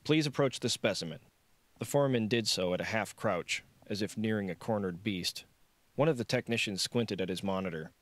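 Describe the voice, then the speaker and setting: A man narrates a thriller novel as an audiobook. His voice is on the low side. The recording is dry and close, with the scripted delivery of a storyteller. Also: brisk, dry recording.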